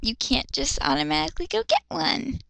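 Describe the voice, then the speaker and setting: A woman's voice: high voice, expressive, normal speed, gravelly, bright, forceful tone, dry recording. A woman doing an amateur dramatic reading, breaking in with exasperated, sweary, sarcastic commentary in a theatrical way. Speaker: amateur dramatic reader and critic.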